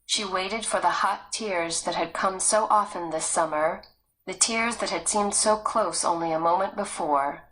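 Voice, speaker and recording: A woman's voice: fairly low pitch, unhurried, fairly bright tone, rough recording. A woman reading a story aloud as a scripted audiobook narrator.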